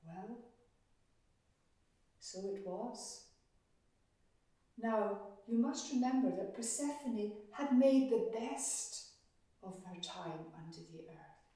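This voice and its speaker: Very slow woman storyteller in echoey room. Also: fairly high pitch, expressive, dark tone. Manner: deliberate, repetitive phrasing with dramatic pauses. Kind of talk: oral myth storytelling for children. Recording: very echoey room, distant microphone.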